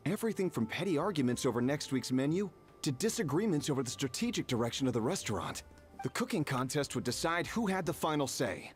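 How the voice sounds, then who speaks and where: An earnest young traveler with a voice a little high for a man. His delivery swings in pitch as he tells the tale. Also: big pitch swings, quick pace, faint music behind. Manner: earnest, storytelling. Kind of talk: game voice acting.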